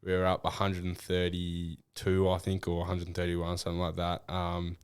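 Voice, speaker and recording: Young australian man, low voice, monotone, rambling with fillers. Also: normal speed, clear, resonant tone, dry recording, clean studio sound. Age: young adult. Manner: relaxed, rambling with fillers and restarts. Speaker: draft prospect guest. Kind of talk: casual interview.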